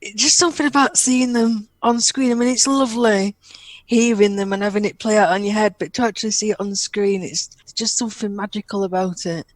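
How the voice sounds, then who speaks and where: A woman's voice: mid-range voice, steady tone, fairly quick, no echo. A woman co-hosting a podcast in a casual, chatty way, often saying "you know". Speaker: podcast co-host.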